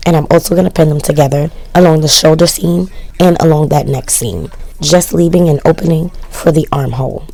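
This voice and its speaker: A woman narrating her sewing project in a casual, chatty voice-over, her voice worn and cracking from yelling, with self-mocking, shrugging asides. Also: sits low, fairly quick, creaky. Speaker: sewing vlogger.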